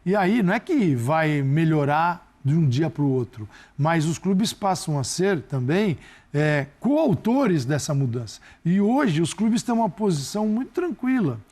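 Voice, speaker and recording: Emphatic, lively male football pundit. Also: fairly high pitch, a little slow. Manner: critical, emphatic, repeats words for stress. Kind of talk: studio panel commentary.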